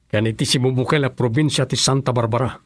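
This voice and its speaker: An actor playing a serious, worried provincial governor in a radio drama, with an Ilocano Filipino accent. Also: male voice, medium pitch, steady tone, fast, no echo, close to an everyday voice. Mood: serious, measured.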